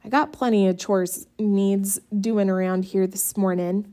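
Brief: woman playing a worn-out farm mother, blunt and unhurried. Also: mid-range voice, fairly even intonation, mellow, natural voice. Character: tired rural mother at the stove.

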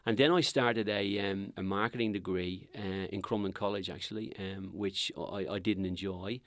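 Middle-aged man, Dublin accent, rambling podcast guest; low voice, dry recording. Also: steady tone, normal speed, dry recording. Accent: Dublin Irish. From Ireland. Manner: rambling, many ums and restarts. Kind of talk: casual podcast interview.

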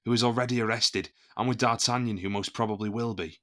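Period audio drama: loyal, defiant musketeer captain, male. Lower register, bright forceful tone, formal emphatic delivery. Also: fairly even intonation, fast. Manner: formal, emphatic, indignant courtly speech.

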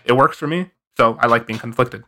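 A man from Canada chatting casually on a podcast in a halting way, leaning heavily on "like". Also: medium pitch, pushed and bright. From Canada, born in India. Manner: halting, filler-heavy, frequent "like". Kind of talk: casual group chat.

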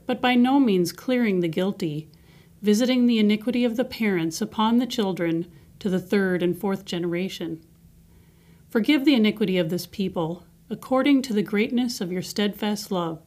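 Measured woman reading a devotional. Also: mid-range voice, a little mellow. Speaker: devotional reader. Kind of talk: scripted devotional reading and reflection.

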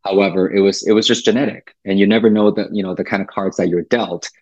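Low-voiced man on a dull call line. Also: steady tone, fast talker, a little mellow, breathy edge, no room sound. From Taiwan. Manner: reflective, with frequent fillers and restarts. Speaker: podcast guest. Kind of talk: casual interview talk.

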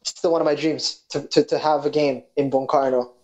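A man's voice: a little high, fairly quick. Man hosting a sports chat. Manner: relaxed, reads listener questions. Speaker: podcast host. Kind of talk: casual interview.

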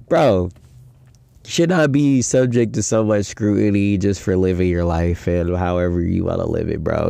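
A man's voice: deep voice, gravelly, dark tone, clear, resonant tone, no echo, studio quality. A young man hosting a casual solo podcast, talking in a loose, rambling, slangy way with a stuffy nose from a head cold. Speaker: podcast host.

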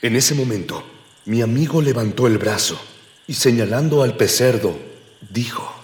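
A man's voice: medium pitch, expressive, normal speed, a little mellow, lightly acted. A man playing a grandiloquent narrator, the hero's unseen companion, with florid, literary delivery in a radio drama. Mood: solemn. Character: grandiloquent storyteller sidekick, unseen companion of hero. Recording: echoey long reverb, music bed.

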